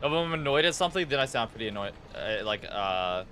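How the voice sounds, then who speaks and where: A man's voice: medium pitch, animated, fast talker, sharp tone, a little hiss or hum. A man with a New Zealand accent chatting casually and informally on a gaming livestream, rambling and swearing freely. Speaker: live game streamer.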